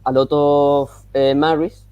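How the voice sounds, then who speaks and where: Slow, halting man with a Colombian accent. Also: a little high, flat delivery, clean tone, dry recording, dull audio. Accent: Colombian Spanish. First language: Spanish. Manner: halting, searching for English words. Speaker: caller. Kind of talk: call-in discussion. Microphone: video call.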